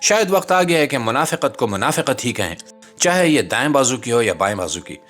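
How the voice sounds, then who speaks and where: A man hosts a scripted political commentary in an animated voice that moves widely in pitch. His delivery is rhetorical and declamatory, with a sardonic edge. Faint music plays behind him. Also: fairly quick. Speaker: host and narrator of a commentary channel.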